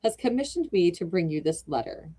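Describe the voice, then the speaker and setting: A formal, courteous old gentleman, read by a woman delivering a message. The tone is lowish and measured, in plain storybook reading. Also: very animated, husky, full character voice. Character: polite old gentleman with slight French accent.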